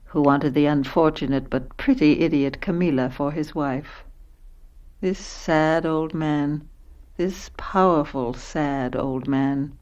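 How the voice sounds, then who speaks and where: An actress playing a composed, educated woman in a radio play, speaking in a measured, reflective way. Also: deep voice, a little mellow, highs cut off. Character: composed, educated woman narrating, inhabiting a poor daughter. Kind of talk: radio play narration and dialogue.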